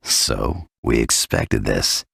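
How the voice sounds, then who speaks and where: An actor playing a cynical, hard-bitten undercover tough guy in a video game, gravelly and husky, with a blunt, sardonic delivery. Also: extremely low pitch, sing-song, takes it slowly, a bit bright, no echo, studio quality, exaggerated voice. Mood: dry, cynical. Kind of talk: video game cutscene acting.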